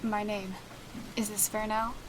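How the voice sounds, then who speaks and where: A woman playing a calm, emotionless, secretive young detective in an audio drama, speaking in a cool, curt, detached way. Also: mid-range voice, a little slow, a little hiss or hum, close to an everyday voice, poor audio.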